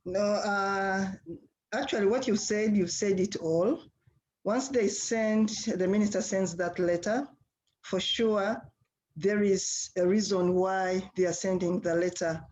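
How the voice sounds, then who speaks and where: Woman panelist, middle pitch, plain listing delivery. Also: slow, darkish tone. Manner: plain, lists options with fillers. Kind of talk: advisory talk in an online panel.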